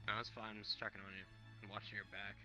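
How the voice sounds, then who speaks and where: A man's voice: lowish voice, little pitch movement, rapid-fire, bright, forceful tone, faint music behind, low-quality recording. A man speaking casually in character during an online roleplay game. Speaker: roleplay player.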